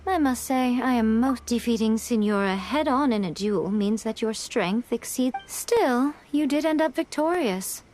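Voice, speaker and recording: A woman's voice: on the high side, lively intonation, measured pace, few pauses, a little mellow, slight background noise. A woman playing a playful, teasing, centuries-old fox envoy in a video game, speaking in a coy, leisurely, formal way. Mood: playful, pleased. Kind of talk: polished video game voice acting. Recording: music behind the voice.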